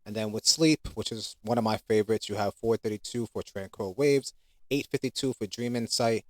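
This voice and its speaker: A man's voice: fairly low pitch, no room sound. A man presenting his own app in an informal, conversational, unscripted demo. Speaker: app creator giving a product demo. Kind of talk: casual unscripted screen demo talk.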